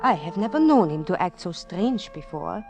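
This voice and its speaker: An actress playing a lonely, middle-aged widowed innkeeper, gentle and formal, in a 1940s radio drama. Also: female voice, on the low side, very animated, moderate pace, music in the background. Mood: worried. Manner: wistful, formal, careful phrasing.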